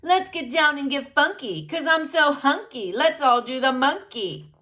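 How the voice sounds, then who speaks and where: Flashy storybook rapper, male, showy rhyming chant with big swings in pitch, performed by a woman. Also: animated, a little slow, pushed and bright, exaggerated voice. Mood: boisterous, showy. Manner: chanting in rhyme. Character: flashy, boisterous male rapper, former music teacher. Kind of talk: children's storybook read-aloud.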